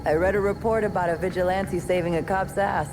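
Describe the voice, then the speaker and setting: A woman acts a world-weary female police detective in a game. Her voice sits a little low and her delivery is dry and sarcastic. Loud music plays behind her. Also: steady tone, a little fast, lightly acted. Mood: dry, sardonic. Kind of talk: video game cutscene acting.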